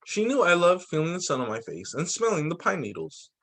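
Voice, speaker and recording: A man reading a picture book aloud to children as a teacher, in an expressive, dramatizing way. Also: a little high, brisk, creaky. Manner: expressive, dramatizing quoted lines.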